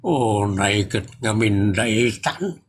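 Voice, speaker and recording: A man's voice: on the low side, expressive, extremely slow, slight background noise. A man with an Ilocos accent from the northern Philippines playing a grumbling, teasing older father in a comedy audio drama. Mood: amused, resigned.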